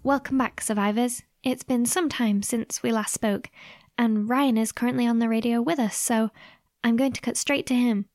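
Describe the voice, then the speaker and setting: An actress playing a brisk, direct radio host who addresses survivors from a bunker in a horror audio drama. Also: female voice, a little high, steady tone, a little mellow, no echo, clean studio sound, lightly acted. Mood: businesslike.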